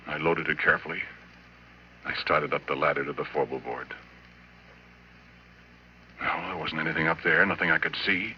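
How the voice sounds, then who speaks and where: A deep-voiced, haunted oil rigger. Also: male voice, normal speed, pauses often, a little hiss or hum. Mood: hushed dread. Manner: colloquial, slangy storytelling. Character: haunted working-class oil rigger, defensive, telling his tale. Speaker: narrator and lead actor. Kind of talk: old-time radio horror acting. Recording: dull vintage radio recording with music.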